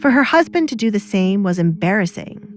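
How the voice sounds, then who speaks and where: A woman's voice: mid-range voice, big pitch swings, fairly quick, a little hiss or hum. A woman reading scripted story narration for an audio drama.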